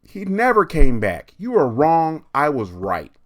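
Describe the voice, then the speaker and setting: A man's voice: fairly high pitch, huge pitch swings, unhurried, a bit bright, voice pushed up, heavily acted. A man voicing a hateful, stubborn schoolgirl in a storybook, speaking in an emphatic, contrary and scornful way. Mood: accusing. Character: hateful, stubborn schoolgirl who insists she is right.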